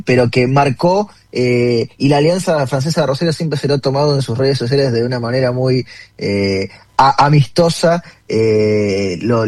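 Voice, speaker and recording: A man's voice: mid-range voice, a little mellow, dry recording. Argentine male interviewer, dry close sound. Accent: Rioplatense Argentine. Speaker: interviewer, host. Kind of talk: conversational radio-style interview.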